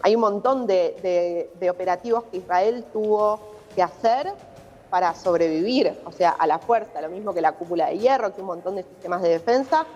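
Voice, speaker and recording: A woman's voice: fairly low pitch, normal speed, a little mellow. A woman with an Argentine accent, a journalist explaining a situation in a live news interview. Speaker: journalist reporting from abroad. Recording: muffled remote link, long reverberation.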